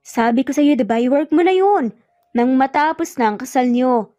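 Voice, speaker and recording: A woman's voice: sits high, lively intonation, moderate pace, warm tone, dry recording. A woman voicing an angry, protective older father, stern and indignant, in an audio drama. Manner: indignant, blustering. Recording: muffled lo-fi audio, music bed behind.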